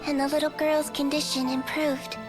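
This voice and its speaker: A woman voicing a gentle, polite, childlike little nurse creature in a video game, speaking softly and earnestly. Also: high-pitched, flat delivery, moderate pace, over music, close to an everyday voice. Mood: wistful storytelling. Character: childlike gentle female Melusine nurse, a small shapeshifter. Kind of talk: video game character acting and storybook narration.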